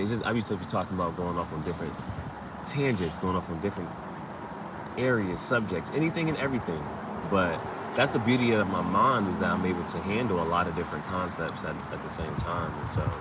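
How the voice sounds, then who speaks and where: A man hosting a casual tarot livestream, talking in a rambling, digressive way and repeating his phrases. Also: deep voice. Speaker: tarot reader and host. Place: outdoors under a tree. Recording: distant mic outdoors, loud ambient noise.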